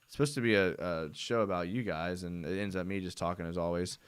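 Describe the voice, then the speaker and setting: Laid-back young man, smooth voice. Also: sits low, quick pace. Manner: laid-back, rambling, frequent "dude" and "I mean". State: voice going hoarse from long talking. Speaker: host of a live fan show. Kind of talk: casual live-stream chat.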